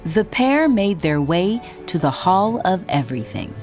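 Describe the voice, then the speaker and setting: A woman narrates a children's storybook in a lowish voice with lively, sweeping intonation. Her delivery is expressive and dramatic, and music plays clearly behind her. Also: unhurried. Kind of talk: scripted narration for a children's read-along.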